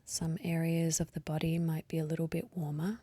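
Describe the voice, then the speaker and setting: A woman leading a guided meditation in a soft, breathy voice, gently and unhurriedly, with long pauses. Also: deep voice, little pitch movement, no echo. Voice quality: soft, breathy, partly whispery. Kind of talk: guided meditation, slow calm instruction.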